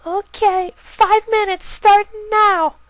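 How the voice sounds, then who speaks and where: A tiny, squeaky cartoon filly, pitched extremely high and bursting with excitable energy. The little pony speaks with childish indignation and nervous pluck in a dramatised story. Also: slow, dry recording, heavily acted. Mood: eager.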